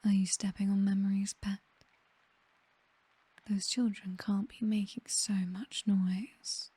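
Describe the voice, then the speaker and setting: A woman's voice: medium pitch, flat delivery, slightly rough, no room sound. A British woman playing a possessive, teasing vampire mistress in an intimate ASMR roleplay, whispering breathily. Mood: quietly menacing. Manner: slow, teasing, softly commanding.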